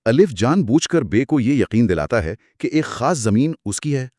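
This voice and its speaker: A man's voice: mid-range voice, sing-song, normal speed, darkish tone, dry recording, studio quality. A man co-presenting a lecture as a scripted two-person dialogue, explaining in a didactic way.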